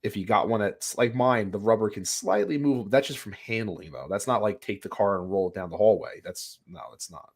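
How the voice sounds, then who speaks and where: A man with a lowish voice that is raspy while he gets over a sinus infection. He rambles through casual podcast talk, restarting sentences and leaning on "like" and "you know.". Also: a little fast, hardly any pauses. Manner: rambling, animated, many restarts and fillers. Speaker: podcast co-host.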